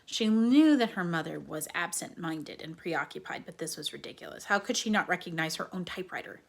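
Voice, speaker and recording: A woman reading a children's book aloud as a dramatic storyteller, often dropping into a breathy hush. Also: on the low side, lively intonation, brisk. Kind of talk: expressive children's book narration.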